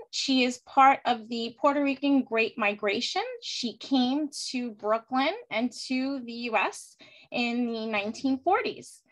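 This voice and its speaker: A woman speaking earnestly as a panelist, her voice sitting a little high. She delivers a prepared personal speech with heartfelt warmth and steady conviction. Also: low-quality recording. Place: virtual event.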